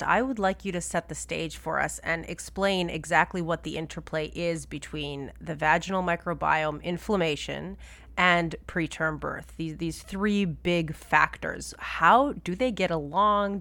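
A woman's voice: lowish voice, normal speed, a bit bright, no room sound. A woman hosting a podcast, introducing her guest and asking questions in a friendly, conversational way. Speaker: podcast host. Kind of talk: podcast interview.

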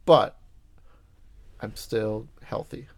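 A man's voice: lowish voice, drawn-out words. A young adult man with a Boston accent hosting a casual family podcast, talking in an encouraging, coaching way with lots of fillers. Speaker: podcast host.

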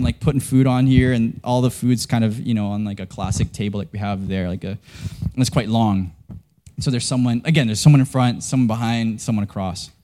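A man's voice: fairly high pitch, a little mellow. A young man from Canada preaching a sermon as a pastor, telling a story in a casual, self-deprecating way with lots of fillers and restarts.

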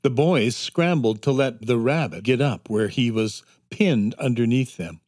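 Slow, measured male storybook narrator. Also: medium pitch, darkish tone. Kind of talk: scripted children's story narration.

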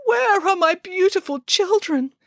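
A melodramatic mother pig from a storybook, acted out by a woman narrator, glamorous and loving but firm. Her voice is husky and swoops widely in pitch, recorded very dry. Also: big pitch swings, moderate pace, no echo. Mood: wailing, tearful. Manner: theatrical, dramatic.

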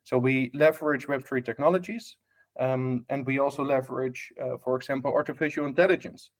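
Dutch man, mid-pitch, chatty guest who hesitates a lot and keeps checking "right?". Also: steady tone, brisk. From Netherlands. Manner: many fillers and restarts, tag questions. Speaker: guest, hospitality tech lead. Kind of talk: casual podcast interview.

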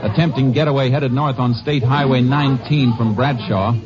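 A man's voice: medium pitch, fairly even intonation, normal speed, crowd noise, close to an everyday voice. An American man playing a stern, wry Texas Ranger in a 1950s western radio drama, with a dry, terse delivery. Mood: urgent. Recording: dull old 1950s radio recording, music.

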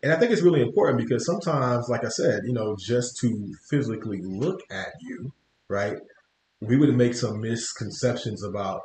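A man's voice: medium pitch, lively intonation, moderate pace, a little mellow, slight background noise. Casual man hosting in a roomy studio. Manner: friendly, casual, repeats "you know". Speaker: podcast host. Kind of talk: interview hosting. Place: podcast studio.

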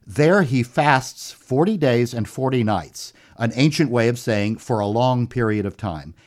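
A man's voice: medium pitch, expressive, a little slow. Man breaking down a Bible passage. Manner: reads scripture aloud, breaks it down step by step. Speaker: podcast co-host. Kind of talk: conversational Bible discussion.

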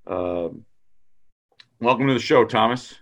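A man hosting a relaxed interview show, asking his guest questions. His voice sits at a middle pitch, and he talks with a friendly, slightly halting manner. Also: vocal fry. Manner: friendly, hesitant, many fillers. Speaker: podcast host. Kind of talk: casual interview conversation.

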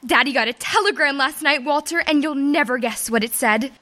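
A woman's voice: high voice, brisk, sharp tone. A woman playing a perky, innocent teenage schoolgirl in an old-time radio comedy. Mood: excited, chatty.

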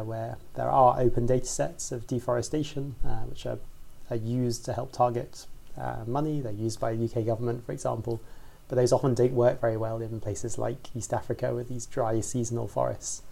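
A man, a scientist, explaining his work in a measured way during a podcast interview. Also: medium pitch, gravelly, mellow. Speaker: scientist guest.

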